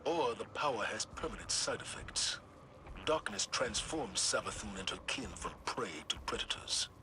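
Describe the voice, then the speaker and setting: An actor playing a stern, wary military commander in a video game, speaking in a grave, firm, cautioning manner. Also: male voice, on the low side, expressive, moderate pace, background noise, natural voice. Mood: grave, skeptical. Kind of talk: scripted dramatic game dialogue. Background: game score.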